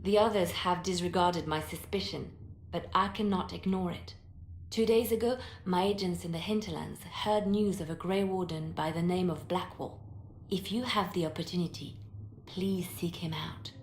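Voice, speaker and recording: An actress playing a composed, secretive woman spymaster in a video game, speaking in a measured, grave way. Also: on the low side, fairly even intonation, roomy sound, slight background noise, close to an everyday voice. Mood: quietly concerned. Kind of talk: video game character acting.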